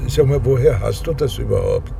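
A man's voice: medium pitch, brisk, mellow, natural voice. An actor playing a grumpy, hesitant, blunt policeman in a radio drama. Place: acted scene inside a moving car. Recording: clear car noise.

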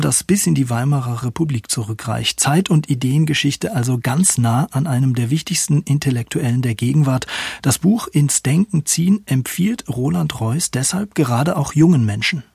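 A man presenting a scripted radio culture feature in a measured, clear way. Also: mid-range voice, a little mellow, no echo.

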